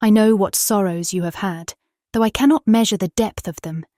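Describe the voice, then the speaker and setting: Actress as tender British Victorian wife, dry recording. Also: female voice, mid-range voice, normal speed, dark tone, dry recording, close to an everyday voice. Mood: tender, sorrowful. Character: tender, earnest young Victorian wife writing her diary. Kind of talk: dramatised audiobook diary narration.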